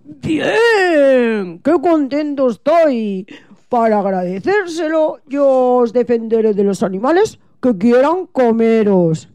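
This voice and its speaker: A woman's voice: a little high, very animated, slow, dark tone, dry recording. A woman playing a friendly, earnest young crocodile in a children's radio play, exclaiming in a childlike way. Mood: happy, grateful.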